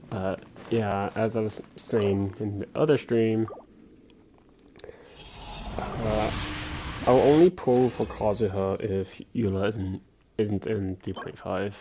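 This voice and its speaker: Guy streaming a game, slow low voice, mumbles to himself, bit of game music. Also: fairly even intonation, mellow, slight background noise. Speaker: game livestreamer. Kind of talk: casual gameplay chat.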